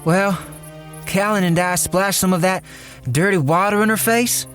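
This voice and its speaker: Gruff crook telling a dark tale. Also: male voice, sits high, steady tone, normal speed, faint music behind. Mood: grim, suspenseful. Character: tough, impatient male crook recounting a sinister tale. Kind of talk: noir audio drama narration and dialogue.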